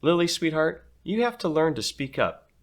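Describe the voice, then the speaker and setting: Man voicing a woman schoolteacher, high-pitched, loud, emphatic, commanding, storybook character. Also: sing-song, normal speed. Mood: coaxing, firm.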